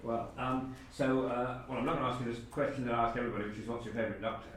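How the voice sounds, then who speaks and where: A middle-aged man speaks informally as a producer in an interview. His voice is medium in pitch, with clear echo from the room. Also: level tone, rapid-fire, a bit bright, a little hiss or hum. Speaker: executive producer. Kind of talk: casual interview chat. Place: university room. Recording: echoey room.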